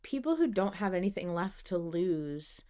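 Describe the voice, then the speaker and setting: A woman's voice: lowish voice, big pitch swings, normal speed, warm tone. A woman hosting a podcast, talking casually about a story with her co-host. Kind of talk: casual discussion of a fiction podcast.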